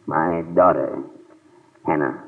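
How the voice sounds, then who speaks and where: A man's voice: a bass voice, steady tone, slow pace, vocal fry, full character voice. A man playing a sad-faced bail bondsman driven to vengeance, speaking hesitantly in 1950s radio drama style. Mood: subdued. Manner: hesitant, subdued speech. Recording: tinny, distant old radio transcription.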